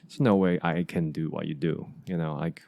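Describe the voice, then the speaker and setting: Low-voiced halting man. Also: steady tone, vocal fry, mellow. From Asia. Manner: halting, many restarts and fillers. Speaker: podcast host. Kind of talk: casual conversation.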